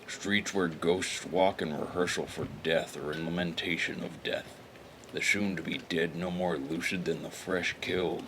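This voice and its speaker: A male actor playing a brooding, deadpan, wisecracking private eye in a noir audio drama. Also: low voice, a little slow, background noise.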